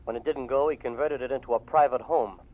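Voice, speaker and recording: A man's voice: mid-range voice, steady tone, fast, close to an everyday voice. An actor playing a matter-of-fact male city-desk reporter in a 1940s radio drama. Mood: knowing. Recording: tinny phone-line old radio sound.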